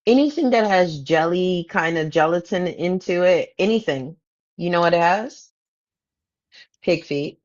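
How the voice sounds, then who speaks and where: A woman's voice: lowish voice, lively intonation, a little slow, a little mellow. A woman co-hosting a podcast in casual chat, teasing her co-host and playfully mimicking her. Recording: dull lo-fi sound.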